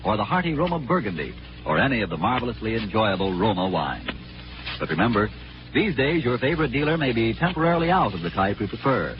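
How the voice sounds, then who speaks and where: A vintage radio recording, dulled, with music behind. A male announcer gives a polished commercial pitch, his intonation swinging expressively as he stresses his florid sales copy. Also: dull audio. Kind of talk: 1940s radio advertisement, polished scripted pitch.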